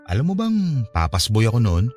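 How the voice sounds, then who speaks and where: A man's voice: on the low side, huge pitch swings, moderate pace, a little mellow, soft background music. An actor playing a hurt, defensive young man confiding in his ex in a radio drama. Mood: subdued, confiding.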